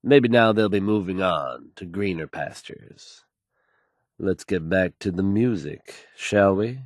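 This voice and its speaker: An actor playing a self-assured, smooth male radio DJ in a game, delivering news with polished, wry showmanship. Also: low-pitched, lively intonation, slow pace, no room sound, studio quality, full character voice. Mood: breezy, wry. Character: confident, suave, upbeat male radio DJ.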